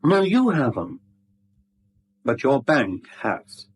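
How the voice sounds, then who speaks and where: An actor playing a smug middle-aged blackmailer in a 1970s radio drama, suave, wordy and mockingly courteous. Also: male voice, medium pitch, wildly swooping pitch, slow pace, a little hiss or hum. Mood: silkily threatening. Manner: suave, wordy, mock-polite, teasing.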